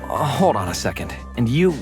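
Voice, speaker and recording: A man plays a blunt investigator in a visual novel mystery. His mid-pitched voice swings expressively as he probes with sardonic doubt, over clearly audible music. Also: big pitch swings, moderate pace, natural voice. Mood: probing. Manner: probing, pointed, sardonic. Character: skeptical, blunt male investigator interrogating a witness. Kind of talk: visual novel English fan dub, dramatic dialogue.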